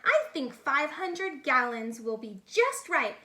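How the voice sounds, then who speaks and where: An eager storybook boy acted out by a woman barks his circus attractions like a proud showman. The delivery is bright, singsong and unhurried, in an echoey room. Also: high-pitched, big pitch swings, full character voice. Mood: grand, boastful. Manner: grandiose showman's barking, singsong rhymes. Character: young boy dreamer, boastful eager circus ringmaster. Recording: echoey, reverberant room sound.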